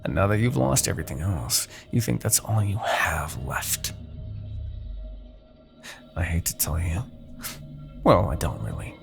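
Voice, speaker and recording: Man acting as a gravelly, seductive demon king. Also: sing-song, soft background music, heavily acted. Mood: mocking, insinuating. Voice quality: gravelly, creaky low voice. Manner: theatrical, silky, condescending persuasion with dramatic pauses. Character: seductive, manipulative male demon king, haughty and persuasive. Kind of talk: fantasy ASMR roleplay monologue.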